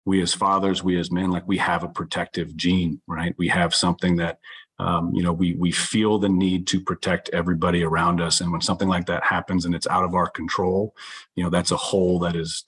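Deep, husky-voiced man, earnest guest. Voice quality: loud voice. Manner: earnest, halting, many restarts. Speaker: guest, author and coach. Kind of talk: reflective interview talk.

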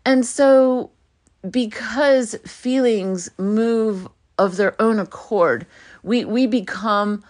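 A middle-aged woman hosting her own solo podcast, talking earnestly and reflectively, getting animated when she acts out what people say. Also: a little high, very slow. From United States. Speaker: podcast host.

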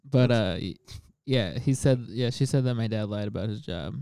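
A man's voice: on the low side, moderate pace, dark tone, clean tone, no room sound, studio quality. A young man chatting casually as a podcast co-host and comic, rambling with lots of fillers and laughing often. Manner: rambling, laughs a lot, many fillers and restarts. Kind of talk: casual chat.

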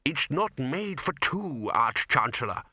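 A man's voice: medium pitch, big pitch swings, a little slow, bright, forceful tone, slightly rough, dry recording, studio quality, full character voice. Man playing a nervous, meek wizard bursar. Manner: nervous, hesitant. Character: timid, flustered, mild male wizard bursar, hapless and deferential.

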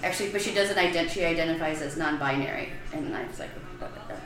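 A woman's voice: low voice, reverberant, a crowd in the background, low-quality recording. A woman in her thirties from Chicago with a Chicago accent, a comedian guest on a podcast, joking crudely and bluntly. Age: 30s. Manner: brash, crude joking, quick laughs. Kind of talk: casual podcast chat.

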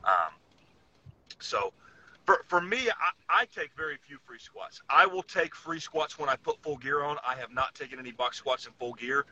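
Bright, forceful man talking on a podcast. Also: fairly high pitch, animated, normal speed, dull audio. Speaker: podcast co-host and powerlifter. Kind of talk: casual podcast chat.

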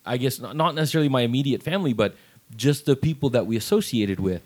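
A man's voice: medium pitch, fast, a little mellow. Man, middle-aged, podcast co-host, earnest, casual chat, stumbles on sentence starts. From Texas. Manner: earnest, repeats words when starting sentences.

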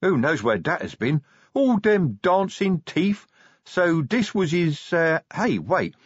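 A man's voice: a little high, big pitch swings, slow pace, husky, dry recording, highs cut off, voice pushed up, heavily acted. A man voicing a skinny, dim-witted, drug-addled troll in a fantasy audiobook, with hesitant, rambling, ungrammatical speech. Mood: rambling, dazed.

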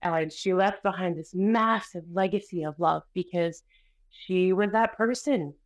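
A woman author speaking as a guest in a casual interview, expressive and energetic as she tells stories. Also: lowish voice, unhurried. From Canada. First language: Spanish.

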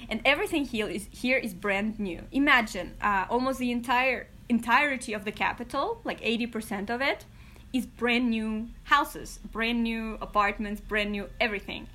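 Young woman, higher voice. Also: pushed and bright. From Kazakhstan. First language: Kazakh and Russian. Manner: chatty, laughs often, many fillers and restarts. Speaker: interview guest. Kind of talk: casual interview answers.

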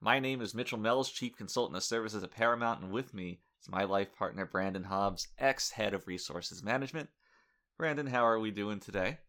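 A young man co-hosts a casual podcast with a bright, pushing tone and a voice that sits a touch low. His humor is dry and deadpan, and he often stops and restarts mid-sentence. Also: brisk. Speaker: podcast co-host. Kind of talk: casual comedic chat.

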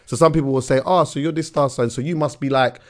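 A man's voice: mid-range voice, fairly even intonation, quick pace. A man chatting casually on a podcast, rambling and full of fillers like "bro" and "like". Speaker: podcast host. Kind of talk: casual chat.

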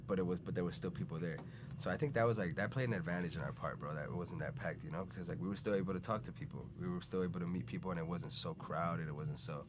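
Deep-voiced laid-back guest, noisy room. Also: male voice, fast. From Venezuela, raised in Chicago. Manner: laid-back, rambling, says "bro" and "you know" a lot. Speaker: podcast guest. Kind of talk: casual conversational storytelling. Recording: steady background noise.

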